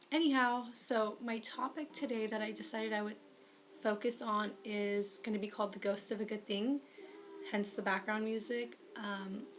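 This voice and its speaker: A young woman with a raspy voice, speaking to viewers into a webcam. She gives earnest, friendly advice in a casual vlog. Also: a little high, slight background noise. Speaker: writer giving advice.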